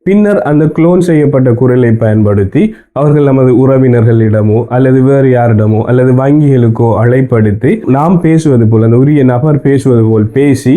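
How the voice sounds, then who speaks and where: Very dark-voiced man, Sri Lankan Tamil accent. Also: mid-range voice, moderate pace. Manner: formal, methodical explaining. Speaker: presenter of an explainer report. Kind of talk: scripted news explainer narration.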